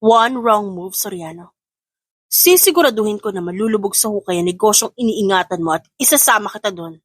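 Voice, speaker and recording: A woman playing a cold, proud businesswoman with a stern, quietly threatening manner in an audio drama. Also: mid-range voice, expressive, a little fast, slightly rough, dry recording, studio quality. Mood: cold threat. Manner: stern, emotionless delivery.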